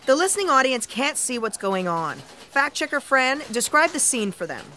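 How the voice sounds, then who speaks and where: A woman's voice: high-pitched, very animated, normal speed, bright, forceful tone, slight background noise, exaggerated voice. A woman playing an authoritative, knowing editor-in-chief who narrates a comic sci-fi audio drama in a matter-of-fact way.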